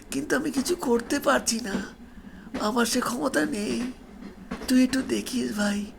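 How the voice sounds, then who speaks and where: Man voicing a grieving, pleading male ghost, high-pitched. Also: gravelly, background noise. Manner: plaintive, imploring, repeats phrases with heavy feeling.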